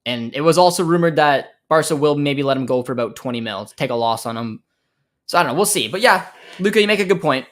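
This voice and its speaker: A man's voice: a little high, expressive, fast talker. A young American man hosting a live football watchalong, chatting casually with his viewers, reacting to the play and thinking aloud with lots of fillers. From United States. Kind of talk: casual live match commentary and chat reading.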